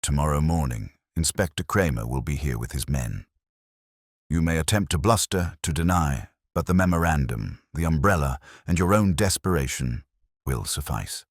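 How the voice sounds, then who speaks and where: A man voicing a commanding, shrewd detective in an audiobook, speaking in deliberate, authoritative statements. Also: extremely low pitch, expressive, no echo, studio quality. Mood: triumphant, calm.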